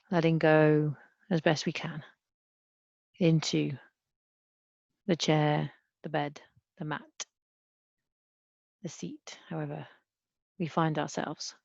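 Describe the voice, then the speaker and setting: A woman leading a guided body scan meditation as a mindfulness teacher, speaking gently and unhurriedly with long pauses. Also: low voice, gravelly, dry recording. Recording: dull, muffled lo-fi sound.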